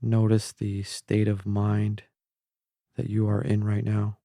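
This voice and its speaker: Male meditation guide: calm, gentle, mellow tone with some creak, recorded close and dry. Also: lowish voice, dry recording. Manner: calm, measured, gentle, with long pauses. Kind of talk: slow guided meditation narration.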